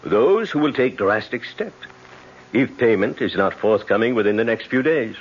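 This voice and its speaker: A man's voice: on the low side, lively intonation, moderate pace. A man playing a suave, fatherly French casino manager who is wary and apologetic, in old-time radio drama acting. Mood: grave, cautioning. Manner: smooth-mannered, hesitant, fatherly. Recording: muffled old radio recording.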